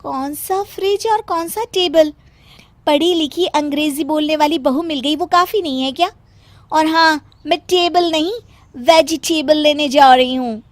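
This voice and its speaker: A woman's voice: high voice, unhurried, no room sound, voice pushed up. A woman voicing a haughty young village daughter-in-law who likes to show off, in a cartoon story. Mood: defiant.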